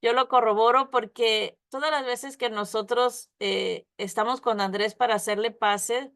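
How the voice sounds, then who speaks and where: A woman whose first language is not Spanish, giving a reflective spiritual talk to a study group, pausing often and ending points with tag questions. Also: on the high side, steady tone, fairly bright tone. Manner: thoughtful, many pauses and restarts, frequent tag questions.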